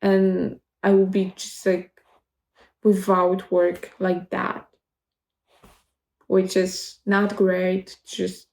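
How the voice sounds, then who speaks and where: Polish-accented woman in her twenties, gravelly. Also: mid-range voice, monotone, a little mellow, roomy sound. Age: 20s. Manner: rambling, halting, many restarts. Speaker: vlogger. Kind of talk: casual chat to camera.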